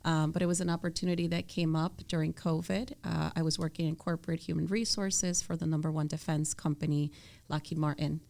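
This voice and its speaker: Low-voiced woman in interview conversation. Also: level tone, a little fast, vocal fry, darkish tone. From born in Ecuador, raised in New York City. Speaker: podcast guest, mortgage lender.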